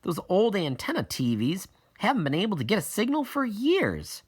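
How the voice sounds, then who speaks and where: A man's voice: high voice, wildly swooping pitch, normal speed, husky, voice pushed up, full character voice. A man voicing a wry, impatient young girl in an audiobook, speaking in a sardonic, emphatic way. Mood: exasperated.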